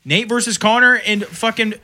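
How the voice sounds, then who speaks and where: A man co-hosting a podcast, chatting about fights and pushing back loudly in arguments. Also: high voice, normal speed, slight background noise. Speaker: podcast co-host. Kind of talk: casual chat about fights.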